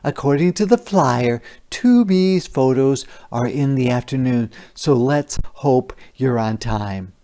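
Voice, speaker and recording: Man reading as a gentle, unhurried storybook mother. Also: medium pitch, expressive. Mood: reassuring. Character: gentle, reassuring mother in a picture book.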